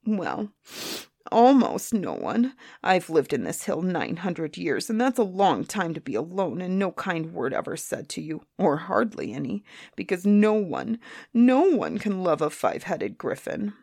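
A woman's voice: on the low side, very animated, gravelly, mellow, no echo, clean studio sound, full character voice. A woman doing a sulky, lonely five-headed griffin. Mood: self-pitying. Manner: resentful, self-pitying, slow.

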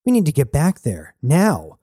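A man's voice: medium pitch, very animated, normal speed, dark tone, dry recording, clean studio sound. A man voicing a fiery, foul-mouthed young woman, blunt and mocking, in an audiobook. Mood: urgent.

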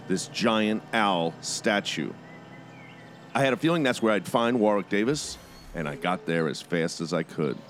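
A man hosting a podcast and narrating a scripted first-person story in a dramatic storytelling manner. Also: lowish voice.

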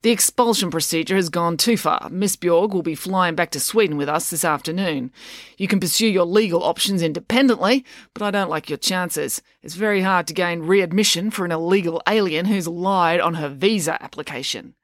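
A woman's voice: lowish voice, normal speed, dry recording, clean studio sound, lightly acted. A woman voicing an officious, exasperated senior male immigration officer in a storybook, formal and stern. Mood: stern, official.

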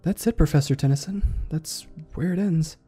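An actor playing a professor's deferential, hesitant assistant in an audio drama, asking questions. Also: male voice, on the high side, normal speed, mellow, breathy edge, faint music behind. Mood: respectful, curious.

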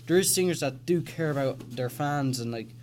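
A man's voice: a little high, moderate pace, darkish tone. A young man hosting a casual podcast chat, rambling with many restarts and fillers. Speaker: podcast host. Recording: faint background noise.

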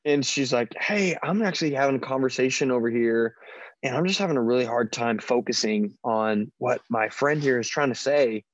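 A man co-hosting a podcast, telling casual stories in a loud, piercing voice with a joking, self-deprecating manner. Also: mid-range voice, moderate pace, dry recording, muffled recording. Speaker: podcast co-host. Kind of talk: casual banter and storytelling. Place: online video call.

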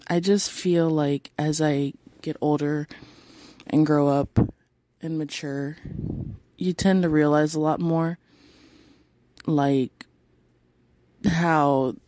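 A woman hosting a rambling solo podcast on her phone, sounding tired, with a raspy, deep voice and a chatty, playfully flirty way of talking, apologizing again and again. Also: warm tone, dry recording. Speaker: podcast host. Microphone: phone held close.

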